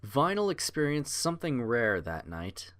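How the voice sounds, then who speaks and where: A man narrating a scripted audiobook in a theatrical, measured storytelling manner. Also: medium pitch, big pitch swings, a bit bright.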